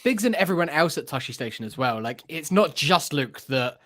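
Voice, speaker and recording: A man's voice: a little high, lively intonation, normal speed, fairly bright tone. A man giving his opinions in a casual, conversational film discussion as a podcast co-host.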